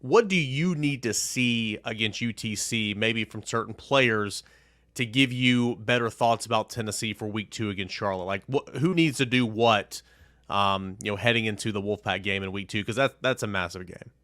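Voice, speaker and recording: A man's voice: lowish voice, moderate pace, a bit bright, no room sound, studio quality. A man hosting a sports podcast, talking conversationally as he introduces segments and puts questions to a guest. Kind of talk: conversational show intro and interviewing.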